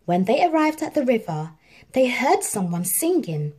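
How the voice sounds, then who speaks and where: A woman narrating a children's storybook in an expressive, measured read-aloud style. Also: on the high side, husky.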